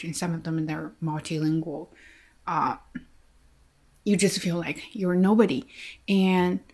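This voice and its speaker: Soft-spoken woman with a Chinese accent. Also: fairly low pitch. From China. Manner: soft-spoken, hesitant, many fillers and restarts. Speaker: English teacher. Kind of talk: reflective personal monologue.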